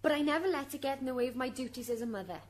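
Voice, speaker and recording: Woman playing high-voiced, aggrieved mother on trial. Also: very fast, a little mellow, lightly acted. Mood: defensive. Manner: aggrieved, defensive. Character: indignant, self-defending dead housewife and mother on trial. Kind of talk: radio drama acting.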